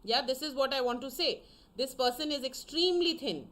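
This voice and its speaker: Young woman teaching online, high voice, lots of um and you know. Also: animated, a bit bright. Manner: explains slowly with many fillers and repeats, addresses students directly. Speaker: English teacher. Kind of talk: online class lecture.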